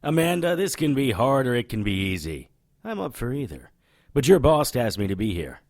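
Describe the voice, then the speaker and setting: An actor playing a cocky, world-weary supernatural detective in a noir audio drama, sardonic and flippant. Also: male voice, medium pitch, sing-song, moderate pace, no room sound, clean studio sound. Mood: flirtatious, teasing.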